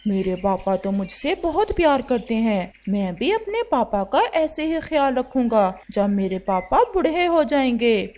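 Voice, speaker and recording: A woman's voice: a little high, very animated, measured pace, hardly any pauses, darkish tone. A woman voicing a devoted young son crow. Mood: fond. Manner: sweet, devoted.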